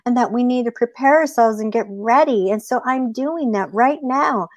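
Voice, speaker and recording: A woman's voice: a little high, moderate pace, no room sound, natural voice. A woman voicing a sly male trickster rabbit who feigns innocence and alarm in an oral animal folktale. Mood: earnest persuading.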